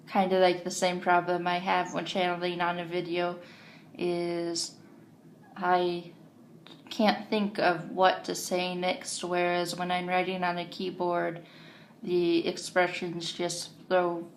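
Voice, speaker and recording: A woman with a deep voice vlogging to camera for the first time, hesitant and full of ums, drifting onto tangents. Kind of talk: casual unscripted monologue to camera. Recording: faint background noise.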